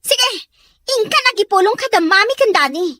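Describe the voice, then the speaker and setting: A woman's voice: very high-pitched, expressive, normal speed, pushed and bright, no room sound, exaggerated voice. A woman playing a spoiled, quarrelsome young schoolgirl in an Ilocano audio drama, snappy and rude. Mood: mocking, defiant. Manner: snappy, confrontational.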